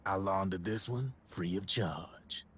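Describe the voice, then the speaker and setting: An actor playing a sly middle-aged arms dealer, talking in a wry, husky storytelling voice. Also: male voice, deep voice, slow pace, a bit bright, full character voice.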